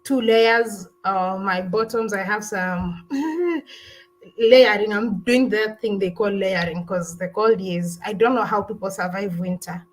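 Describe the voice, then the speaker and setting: A woman, talking loosely to her viewers during a live travel stream. Her voice sits at an ordinary middle pitch. She rambles, restarts her sentences and often trails off with "I don't know". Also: measured pace, slight background noise, poor audio. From Kenya. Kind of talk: casual live-stream chat, answering viewer comments.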